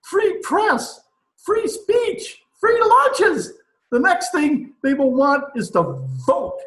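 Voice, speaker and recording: A man's voice: very high-pitched, very animated, slow, a bit bright, reverberant, exaggerated voice, low-quality recording. A man playing a pompous, blustering dictator president in an old-time radio comedy recorded over a video call. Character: pompous, excitable dictator president of a banana republic.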